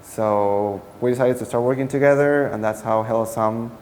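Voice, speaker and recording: Interview guest: man, mid-range voice, earnest, pauses to find words; echoey room, faint hiss. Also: medium pitch, darkish tone. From Peru. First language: Spanish. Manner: earnest and searching for words, self-correcting. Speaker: startup co-founder. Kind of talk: answering interview questions.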